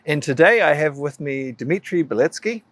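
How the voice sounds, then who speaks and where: A man hosting a podcast interview in a relaxed, friendly way, often repeating words as he goes. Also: a little high, moderate pace, no room sound. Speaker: podcast host.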